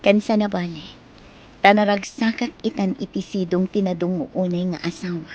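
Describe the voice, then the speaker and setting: A woman's voice: lowish voice, level tone, normal speed, darkish tone, dull audio, a little hiss or hum, natural voice. A woman playing a firm but forgiving married mother in a radio drama, with an Ilocano accent. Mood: apologetic, firm.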